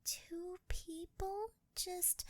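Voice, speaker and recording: Woman voicing a clingy shy succubus girlfriend, high girlish voice, very slow, breathy, coy, intimate close-mic ASMR roleplay. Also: flat delivery, dry recording, exaggerated voice. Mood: shy coaxing. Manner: coy, halting, intimate, often dropping into whispers. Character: sweet, shy, clingy female angel turned succubus. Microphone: close ASMR microphone, dry sound.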